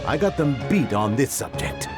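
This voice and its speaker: Man voicing an animated, outspoken young man. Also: medium pitch, moderate pace, loud music behind the voice, natural voice. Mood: indignant, confident. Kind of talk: English anime game dub acting.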